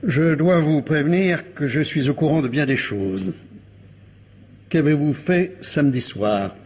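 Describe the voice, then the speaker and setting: An actor playing a shrewd, insistent police commissioner who questions a suspect with courteous, insinuating pressure, in a French radio crime drama. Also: male voice, on the high side, measured pace, darkish tone, a little hiss or hum, close to an everyday voice. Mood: probing, courteous.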